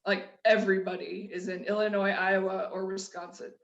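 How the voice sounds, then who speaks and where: A middle-aged woman with a flat Midwestern accent shares her story as a podcast guest. She talks candidly and loosely, restarting her sentences often. Her voice has a roomy echo around it. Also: mid-range voice, a little fast, vocal fry, lo-fi sound. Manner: candid, rambling, with frequent restarts and laughs. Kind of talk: personal storytelling in a conversation.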